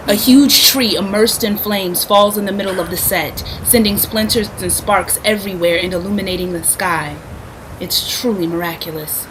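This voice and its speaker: A woman reading a play's stage directions aloud as scripted narration at a staged reading. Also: lowish voice. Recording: clearly noisy stage recording.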